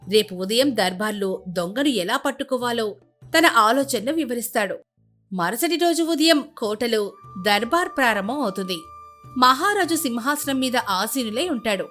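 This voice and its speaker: High-pitched woman narrating a story. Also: a bit bright.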